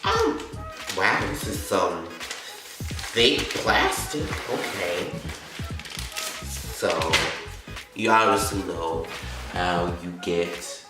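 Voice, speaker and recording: A man's voice: low voice, huge pitch swings, extremely slow, pauses often. A teenage boy vlogger chatting casually through an unboxing while sick with a cold, his throat scratchy, rambling with lots of likes and you-knows. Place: indoor room. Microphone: camera set up some distance away. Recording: very echoey room, distant mic, music behind.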